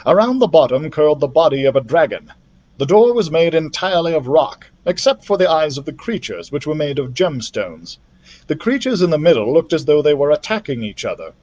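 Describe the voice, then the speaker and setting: A man reads a children's adventure book aloud in an expressive storytime style. His voice is a little higher than average for a man. He phrases the descriptions carefully, with a sense of drama. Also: muffled recording. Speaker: library storytime reader. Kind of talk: expressive scripted storybook narration.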